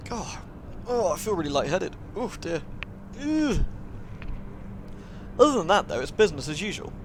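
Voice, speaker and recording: A man's voice: high-pitched, big pitch swings, moderate pace, noisy background. A man livestreaming a game, chatting casually with viewers and reading aloud. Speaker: game streamer.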